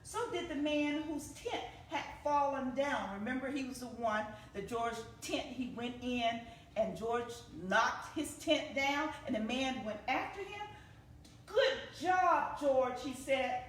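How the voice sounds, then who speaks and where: A woman reading a children's story aloud at a library story time, expressive and deliberate. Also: sits high, slight background noise. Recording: echoey room, distant from the mic.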